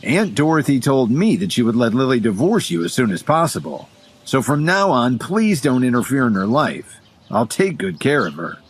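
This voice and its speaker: A man's voice: medium pitch, big pitch swings, moderate pace, a little hiss or hum, natural voice. A man voicing an arrogant, taunting young male real estate manager in an audio drama. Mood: contemptuous. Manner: haughty, taunting.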